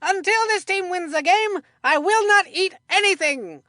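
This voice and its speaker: Very high-pitched kid baseball commentator. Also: female voice, measured pace, sharp tone, no room sound, studio quality, full character voice. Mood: eager, opinionated. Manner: folksy, emphatic, addresses a broadcast partner. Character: excitable kid baseball commentator, folksy and opinionated. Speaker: sports color commentator. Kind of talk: scripted cartoon game sports commentary.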